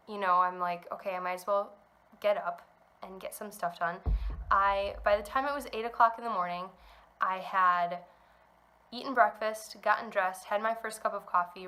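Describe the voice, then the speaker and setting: A woman's voice: medium pitch, pushed and bright. A young woman hosting a knitting podcast from home, chatting in a rambling way with lots of ums while still a little congested from a cold. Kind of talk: casual chat to camera. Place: at home indoors.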